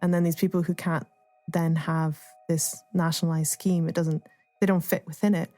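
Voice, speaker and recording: A woman's voice: lowish voice, little pitch movement, normal speed, warm tone, no echo, soft background music. A woman speaking thoughtfully and tentatively as an artist explaining their work in a reflective interview. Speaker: artist and playwright being interviewed.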